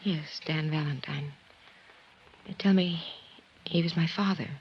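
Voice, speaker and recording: An actress playing a composed, quietly intense woman of twenty-one with a husky voice, in a 1950s radio drama. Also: low voice, measured pace, slight background noise. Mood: cool, guarded. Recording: dull old 1950s radio recording.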